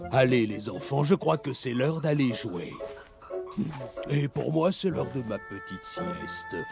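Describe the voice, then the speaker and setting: Creaky old storytelling animal elder. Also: male voice, medium pitch, lively intonation, measured pace. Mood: gentle. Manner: dramatic storytelling. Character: kindly old male animal elder telling legends. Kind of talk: animated children's cartoon acting. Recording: music behind the voice.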